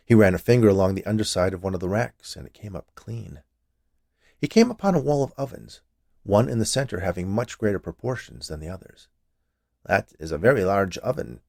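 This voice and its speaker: Low-voiced man narrating a story, creaky. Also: sing-song, a little fast, darkish tone, dry recording, studio quality. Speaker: story reader. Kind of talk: scripted fiction narration.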